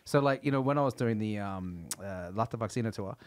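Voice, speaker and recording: A man's voice: on the low side, fairly quick, a little mellow, no echo. A middle-aged man hosting a podcast in casual chat, joking and swearing freely. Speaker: podcast host, comedian. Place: small studio room. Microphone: studio mic on boom arm, headphones.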